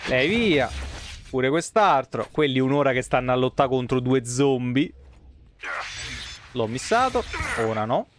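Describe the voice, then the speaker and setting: A man's voice: mid-range voice, lively intonation, measured pace, pauses often, a little hiss or hum. A man, muttering casual, foul-mouthed commentary as he streams a game. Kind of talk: casual live gameplay commentary. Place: small indoor room, streaming setup.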